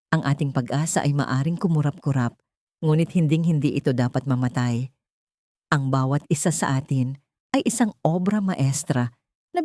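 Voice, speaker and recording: A woman narrating a scripted dramatic story in an earnest, sentimental, measured way. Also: low-pitched, mellow, no room sound, studio quality.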